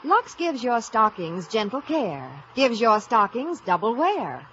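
A woman's voice: fairly high pitch, very animated, a little slow, a bit bright, a little hiss or hum. A woman chanting a rhythmic sing-song jingle on old radio. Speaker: commercial singer. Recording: dull old radio broadcast.

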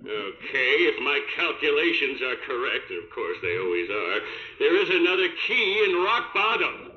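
A man's voice: mid-range voice, big pitch swings, moderate pace, lightly acted. A man voicing a smug, scheming little cartoon villain in a video game, pompous and boastful. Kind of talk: animated video game acting. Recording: echoey, dull broadcast, clear noise.

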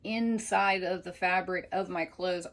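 A woman in her forties telling stories in an animated, casual chat with a friend, peppered with "like". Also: fairly low pitch, a little slow. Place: small living room, indoors. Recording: clearly noisy room ambience.

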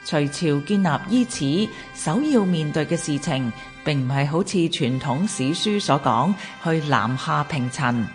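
A woman narrates in Hong Kong Cantonese with a low voice and slow pace. Her delivery is formal and measured, like a scripted documentary, with faint music behind her. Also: expressive. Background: background music.

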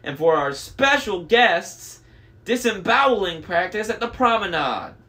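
A man's voice: high voice, sing-song, measured pace, bright, forceful tone, voice pushed up, exaggerated voice. Man acting as overly cheerful ship announcer. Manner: chirpy announcement delivery. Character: overly cheerful male ship's intercom announcer.

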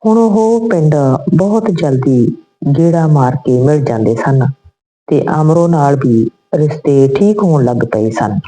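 A woman reading a scripted moral story as narrator, in measured phrases. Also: low-pitched, expressive, mellow, faint music behind, low-quality recording.

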